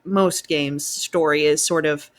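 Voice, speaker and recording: A woman speaking as a guest fan writer in an enthusiastic discussion, at a measured pace. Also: low-pitched, steady tone.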